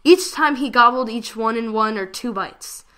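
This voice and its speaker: A woman reads a fan fiction story aloud as a dramatic narrator. Her voice is fairly high and very bright, with an expressive storytelling delivery. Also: normal speed.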